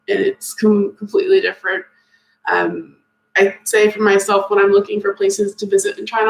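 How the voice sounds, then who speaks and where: Chatty young woman with lively, creaky voice. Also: fairly high pitch. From Maine, USA. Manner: chatty, many ums, upbeat. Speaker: teacher recruiter hosting a live Q&A. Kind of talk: casual livestream chat answering comments. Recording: echoey room.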